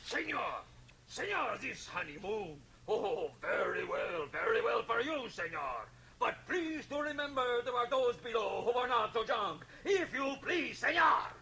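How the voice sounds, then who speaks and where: A man's voice: extremely high pitch, sing-song, measured pace, vocal fry, pushed and bright, husky, full character voice, rough recording. A man playing a fussy, complaining elderly servant in a radio drama, with a pleading manner. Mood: plaintive, scolding. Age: older. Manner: plaintive, pleading. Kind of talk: 1980 radio drama acting.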